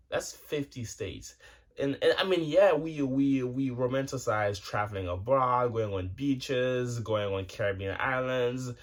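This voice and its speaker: A man in his twenties talking casually to his viewers, rambling and cursing freely with lots of restarts and fillers. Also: mid-range voice. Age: 20s. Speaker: vlogger. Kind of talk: casual rambling monologue to camera.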